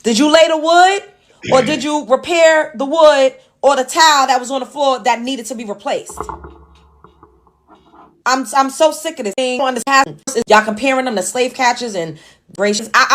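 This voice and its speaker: A woman's voice: high voice, moderate pace, a bit bright. A woman in a played clip delivering a blunt, impassioned monologue full of rhetorical questions.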